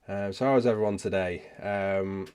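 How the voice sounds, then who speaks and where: A man hosting a casual live question-and-answer session, speaking haltingly and often rephrasing himself as he goes. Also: low voice, fairly even intonation, a little mellow, clear, resonant tone. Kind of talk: casual livestream chat, answering viewer questions.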